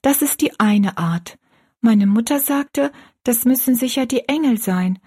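A woman voicing an earnest, pious young girl in a storybook, gently and politely explaining things. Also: on the high side, a little slow, slightly rough, voice pushed up.

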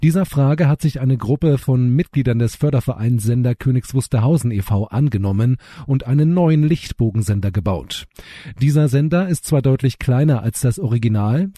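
Male news presenter, scripted read, very mellow dark tone with a husky grain. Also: medium pitch, lively intonation, normal speed, no echo, clean studio sound.

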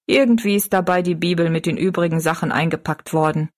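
A woman's voice: on the low side, quick pace, close to an everyday voice. A woman voicing a defensive, self-satisfied older married woman in an audiobook story, evasive and self-justifying.

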